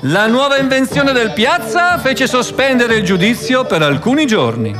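A man's voice: very high-pitched, big pitch swings, moderate pace. A man narrating a historical account as radio theatre, in a theatrical, emphatic storytelling way. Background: music.

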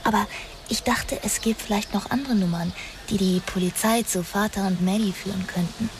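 An actress playing a bitter, wounded young woman making a halting confession in a radio drama. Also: mid-range voice, background noise, natural voice. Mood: guilty, subdued. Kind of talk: dramatic radio play dialogue.

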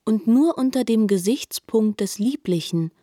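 A woman narrating a literary radio play calmly and descriptively. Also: a little high, measured pace, no echo, studio quality.

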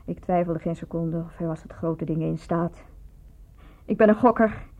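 A woman's voice: on the low side, normal speed. An actress in a radio play voicing a lovestruck, self-reproaching provincial woman, speaking in a reflective, confessional manner. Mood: bitter, rueful. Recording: thin, phone-like old radio recording.

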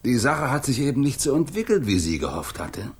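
An actor playing a weary, guarded single father in a German radio drama, husky and evasive. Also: medium pitch, very animated, brisk. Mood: resigned, defensive. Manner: evasive, defensive, hesitant.

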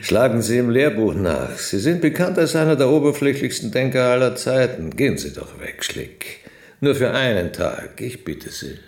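A man's voice: medium pitch, sing-song, normal speed. An actor in a radio play playing a fearful, brooding man, a logician who questions everything. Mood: scornful.

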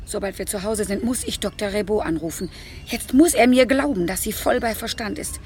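An actress playing a kind, gossipy caretaker who chatters and fusses in a radio play. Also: female voice, mid-range voice, brisk, a little mellow, a little hiss or hum, natural voice. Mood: gushing. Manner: chatty, fussing, cheerfully talkative.

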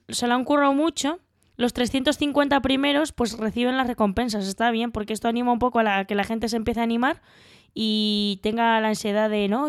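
A woman with a Spanish accent from Spain talks in a fairly high voice, bantering playfully on a board game podcast. She teases her co-host, and the recording is dry and close. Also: dry recording. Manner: playful and teasing, laughs between lines. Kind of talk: casual board game news chat.

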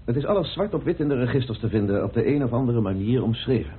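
Formal male interrogator, husky, lively intonation. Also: medium pitch, fast. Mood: stern, insistent. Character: probing, formal British man questioning his old acquaintances. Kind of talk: radio play acting. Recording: muffled phone-like old radio recording.

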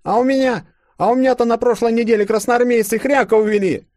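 A man's voice: extremely high pitch, fairly even intonation, fast talker, darkish tone, dry recording, clean studio sound, voice pushed up. A man voicing a skinny young peasant boy who whines tearfully about his loss. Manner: whiny, tearful.

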